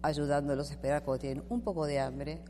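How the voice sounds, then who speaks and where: A woman's voice: low-pitched, quick pace. A woman speaking as a panel guest, explaining her points confidently. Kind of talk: discussion panel. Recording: reverberant room with steady hum.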